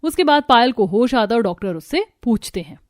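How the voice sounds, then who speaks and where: A woman narrating a Hindi cartoon story in a steady, scripted storytelling style. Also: on the high side, brisk, no room sound.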